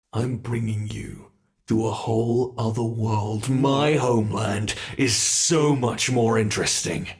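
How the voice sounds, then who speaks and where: A British man voicing a predatory male monster from under the bed in a horror roleplay. He speaks slowly and playfully, taunting his prey and dropping to whispers. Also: medium pitch, vocal fry.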